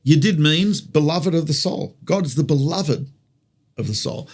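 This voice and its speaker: A man's voice: a little high, animated, normal speed, creaky, breathy edge. A man with an Australian accent, a rabbi teaching conversationally through stories and rhetorical questions. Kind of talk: conversational religious teaching with storytelling.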